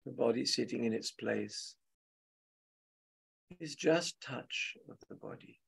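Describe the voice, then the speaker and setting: A man with a husky, gravelly voice leading a guided meditation as a teacher, speaking gently and unscripted in short phrases with long pauses. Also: mid-range voice, poor audio.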